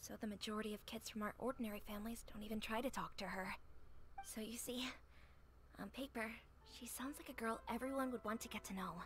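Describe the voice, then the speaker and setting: A woman's voice: on the high side, steady tone, fast, a bit bright, close to an everyday voice. A woman playing a friendly, chatty owner of a humble eatery in a video game, with an easygoing, confiding, neighborly manner.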